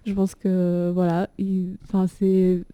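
Young woman, dark warm voice, lots of euh, dry close phone sound. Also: fairly low pitch, completely flat delivery, takes it slowly, clear, resonant tone, no echo. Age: young adult. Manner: hesitant, many fillers. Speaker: actress and poet. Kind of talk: casual chat.

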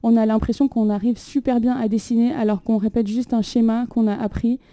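Dark-voiced young woman, chatty. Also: a little high, steady tone, brisk, no room sound. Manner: chatty, laughs often, many fillers. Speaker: poet, host of the reading. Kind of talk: casual chat and poetry reading. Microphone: phone propped on a water carafe.

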